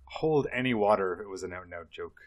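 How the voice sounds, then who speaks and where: A man's voice: sits low, fast talker. A man hosting a podcast, chatting casually and laughing as he talks. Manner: animated, laughs while talking. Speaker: podcast host.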